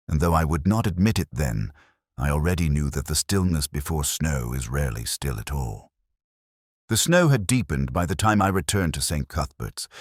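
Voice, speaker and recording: Male actor as melancholy older detective narrator for radio mystery. Very low, dry close sound, deliberate, with lively melodic movement. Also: no echo, full character voice. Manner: measured, brooding, deliberate. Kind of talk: scripted literary mystery narration, radio drama style.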